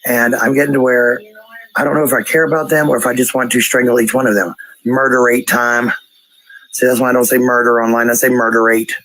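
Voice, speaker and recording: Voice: mid-range voice, level tone, a little fast, a bit bright, poor audio. A person ranting casually in a blunt, coarse, profane way, heard on a played-back voice clip.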